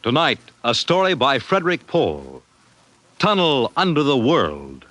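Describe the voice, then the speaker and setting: A man's voice: medium pitch, huge pitch swings, measured pace. A man announcing a 1950s radio science fiction series in a grand, portentous style. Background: dramatic music.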